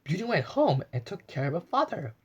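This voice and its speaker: A man reads a storybook aloud with lots of animation, his pitch bouncing high and low. His voice is a little husky, and he gasps, stumbles and repeats words as he chimes in with his own asides. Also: sing-song, fairly quick.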